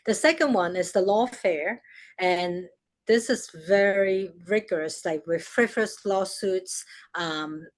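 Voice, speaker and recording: Older woman, Chinese accent, mid-range voice; earnest guest explaining at a steady pace. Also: medium pitch. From China. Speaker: guest speaker. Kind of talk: town hall interview.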